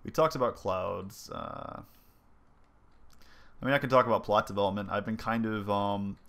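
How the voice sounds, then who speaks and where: A man's voice: lowish voice, fairly even intonation, normal speed, gravelly, a bit bright. A young man with a stuffy, congested voice, casually thinking aloud as he shows off his game engine, with plenty of ums and likes. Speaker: indie game developer. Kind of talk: casual devlog commentary over gameplay.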